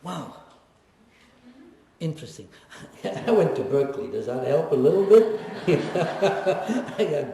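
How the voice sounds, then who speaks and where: A man in his seventies giving a personal testimony at a lecture, reflective and wry, pausing often and teasing his audience. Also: a little high, sing-song, noisy background. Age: 70s. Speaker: guest speaker, Holocaust survivor. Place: lecture hall. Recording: echoey hall recorded from far off.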